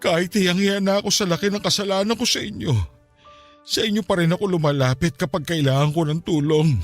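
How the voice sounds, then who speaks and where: A man's voice: high voice, fairly even intonation, fairly quick, soft background music, natural voice. A Filipino man playing a remorseful aging father in a radio drama, pleading hesitantly and tearfully with an estranged child. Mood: tearful, ashamed.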